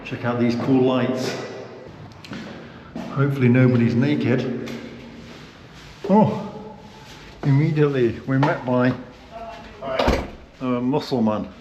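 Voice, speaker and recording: A man's voice: medium pitch, fairly even intonation, slow, pauses often, muffled recording, noisy background. Chatty British man on a walkabout. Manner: chatty, loose, with frequent fillers. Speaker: vlog host. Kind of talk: casual walking-tour vlog. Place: indoors, hotel and sauna cellar.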